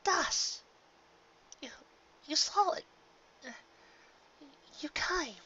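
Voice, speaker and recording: A man voicing a refined, weary and frightened female pony in a thin falsetto for a fan fiction story reading, pleading in weak mumbles or desperate cries. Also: lively intonation, extremely slow, sharp tone, dull audio, full character voice. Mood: weak, hopeful. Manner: mumbling weakly or crying out desperately.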